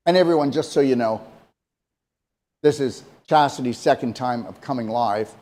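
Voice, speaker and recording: A man's voice: medium pitch, moderate pace, a little mellow. A man co-hosting a casual talk show, speaking emphatically and rambling, often repeating himself. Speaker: co-host.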